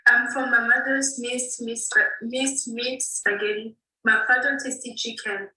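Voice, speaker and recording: A woman reading her own poem aloud in an earnest, measured recitation. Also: on the high side, steady tone, sharp tone. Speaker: student poet. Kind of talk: poetry reading. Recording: echoey room, distant mic.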